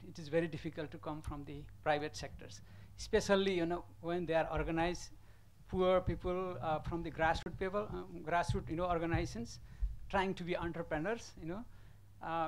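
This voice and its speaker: A man from Nepal commenting from the audience at a conference, halting and full of fillers. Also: on the high side. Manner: halting, many fillers and restarts. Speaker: audience member asking a question.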